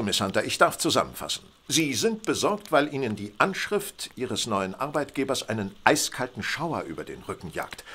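A man's voice: mid-range voice, sharp tone, husky, heavily acted. An actor playing a coolly logical, condescending male detective in a German radio play, speaking precisely and formally.

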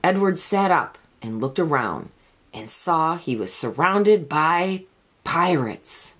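Low-voiced woman reading a storybook slowly. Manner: expressive, deliberate storytelling. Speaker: storybook reader. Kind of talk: children's picture book read-aloud, scripted narration.

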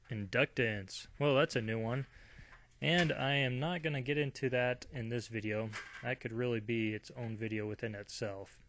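A man's voice: medium pitch, fairly bright tone. A man narrating a welding machine review and tutorial in an informal, conversational way, as a voice-over. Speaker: DIY product reviewer.

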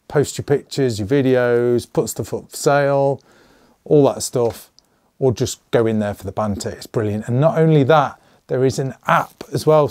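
A middle-aged man with a slightly hoarse voice, hosting a casual channel update and rambling with jokey asides. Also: mid-range voice, darkish tone, no room sound. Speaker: channel host. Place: small indoor gun room.

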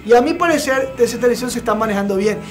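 A man's voice: very high voice, animated. Blunt young male TV host. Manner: opinionated, blunt, many filler pauses. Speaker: TV show host. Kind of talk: studio gossip commentary. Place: TV studio set with sofa. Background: background music.

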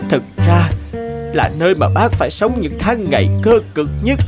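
A man playing a regretful, gentle middle-aged tea farmer who once migrated, reflective and advising as in an animated moral tale. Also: on the high side, normal speed. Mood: rueful. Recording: loud music behind the voice.

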